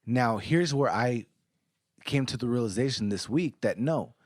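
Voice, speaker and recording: A man's voice: medium pitch, expressive, unhurried, no room sound, clean studio sound. A man in his thirties, co-hosting a podcast, talking bluntly and earnestly with frequent swearing. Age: 30s. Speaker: podcast co-host. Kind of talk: casual podcast chat.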